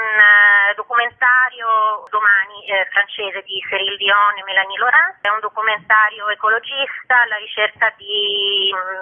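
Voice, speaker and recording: A woman explaining things as an interview guest, with hesitant pauses mid-phrase. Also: medium pitch. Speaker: festival organiser, interview guest. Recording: tinny, narrow telephone line.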